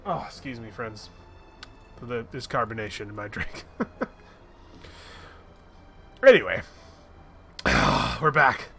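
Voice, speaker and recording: Giggly, bright-voiced male gamer. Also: mid-range voice, lively intonation, slow pace, vocal fry, long pauses, breathy edge, soft background music. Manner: joking, giggly, swears freely. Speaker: streamer. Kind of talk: casual let's-play commentary.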